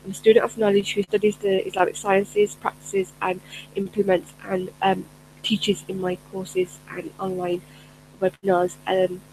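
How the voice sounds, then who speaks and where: A woman's voice: mid-range voice, completely flat delivery, moderate pace, a little mellow, slight background noise, low-quality recording. A middle-aged woman, a personal development coach, talking earnestly to camera in a chatty way with many fillers and restarts. Her first language is Urdu. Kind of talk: casual spoken talk to camera.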